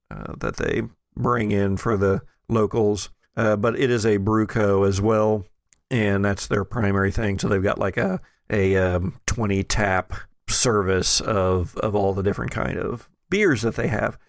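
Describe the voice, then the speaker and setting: A man narrating scenes as game master of a tabletop roleplaying podcast, in a halting, often jokey way. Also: on the low side, creaky, dry recording, clean studio sound.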